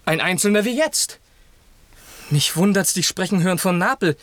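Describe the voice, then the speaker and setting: An actor playing a grieving, noble young prince in a classic German radio drama, speaking lofty verse in a husky, declamatory way. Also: male voice, sits high, lively intonation, normal speed, a bit bright, no echo. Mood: awed.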